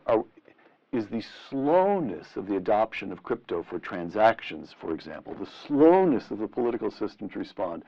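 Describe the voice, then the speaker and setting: Deep-voiced, animated male interviewer, dull radio sound. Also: vocal fry. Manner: deliberate, rhetorical, emphatic. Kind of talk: long-form interview questioning.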